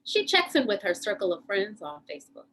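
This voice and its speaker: A woman's voice: medium pitch, sing-song, brisk, fairly bright tone. A woman reading scripted narration that sets up dramatic scenes.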